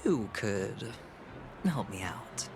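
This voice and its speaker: Sly, theatrical, slow-talking schemer. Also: male voice, lowish voice, sing-song, background noise, exaggerated voice. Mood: sly, amused. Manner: theatrical, smirking, deliberate, breaking into whispers. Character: sly, smooth-talking man with a sinister obsession with death. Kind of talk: video game voice acting. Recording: music under the voice.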